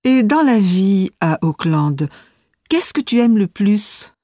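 A woman's voice: medium pitch, very animated, slow, warm tone, no room sound, clean studio sound. A woman reading a scripted listening exam as interviewer and narrator, enunciating carefully.

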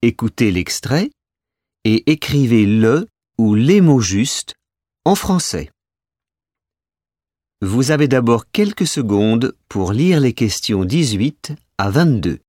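A man's voice: mid-range voice, very animated, takes it slowly, a little mellow, dry recording, studio quality. A man reading scripted exam instructions in a formal, carefully enunciated way. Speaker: exam announcer.

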